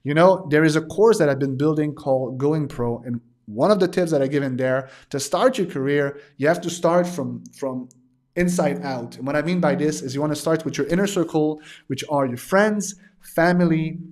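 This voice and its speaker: A man in his thirties speaks in a slightly raised voice. He chats casually to viewers as a digital art teacher, stopping often to say uh and restart. His voice is wearing thin and hoarse. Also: fairly high pitch, moderate pace, darkish tone. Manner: hesitant, many "uh" fillers and restarts. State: losing his voice. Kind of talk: casual livestream talk to viewers.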